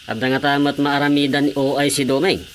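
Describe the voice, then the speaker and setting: A man's voice: a little high, fairly even intonation, moderate pace, fairly bright tone, a little hiss or hum, lightly acted. A man acting an ambitious, mocking village councilor with a dismissive manner in an Ilocano radio comedy drama, with an Ilocano Filipino accent.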